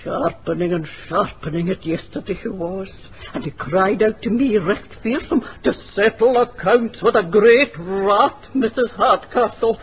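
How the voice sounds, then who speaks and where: An actress playing a gloomy, rat-obsessed Scottish housekeeper in a radio drama, with brooding dialect speech. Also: female voice, medium pitch, close to an everyday voice. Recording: dull lo-fi radio recording, background hiss.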